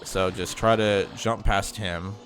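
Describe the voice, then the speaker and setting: A man's voice: fairly low pitch, fairly even intonation, takes it slowly, a bit bright, soft background music. A man with a slightly nasal, congested voice giving a chatty, rambling walkthrough of a video game. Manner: chatty, rambling, with frequent ums and self-corrections. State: mild sinus congestion. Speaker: gaming channel host. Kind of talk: casual gameplay commentary.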